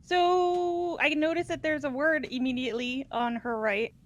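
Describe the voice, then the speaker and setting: A woman's voice: high voice, fairly even intonation, normal speed. A woman chatting casually as a player in a group video game playthrough. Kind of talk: casual chat.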